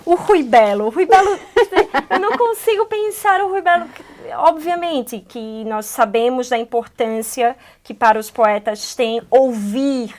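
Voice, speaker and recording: A woman's voice: high voice, a little slow. A woman with a Northeastern Brazilian accent, co-hosting a radio show and musing about poetry, tagging her thoughts with "não é?". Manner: animated, musing, many "não é?" tags. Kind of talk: casual conversation about poetry.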